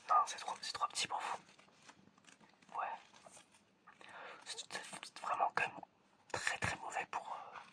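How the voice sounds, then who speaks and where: Male ASMR performer, whispered and unhurried, as a teasing, hesitant examiner repeating phrases to the listener. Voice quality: breathy, unvoiced whisper. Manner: hesitant, repetitive, teasing patter addressed to the listener. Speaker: ASMR creator playing an eye examiner.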